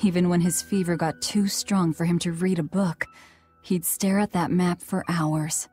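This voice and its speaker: The brooding young fighter in a fantasy game party a woman acting, shutting down sympathy in a low, curt voice. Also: fairly even intonation, measured pace, a little mellow, soft background music, natural voice. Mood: subdued, wistful. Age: young adult. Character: bitter, guarded young swordswoman, brusque and hardened.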